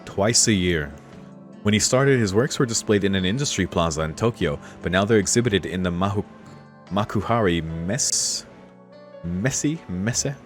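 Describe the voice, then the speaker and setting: A man's voice: low-pitched, expressive, normal speed, faint music behind. A man streaming a visual novel, mixing read-aloud narration with casual commentary. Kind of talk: casual let's-play commentary and reading aloud.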